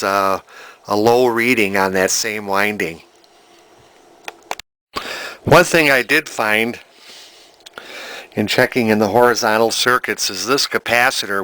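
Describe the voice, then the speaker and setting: A man giving an informal, halting hands-on repair demonstration in an indoor workshop, explaining as he goes. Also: fairly low pitch, bright, forceful tone, dry recording. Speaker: amateur vintage TV restorer.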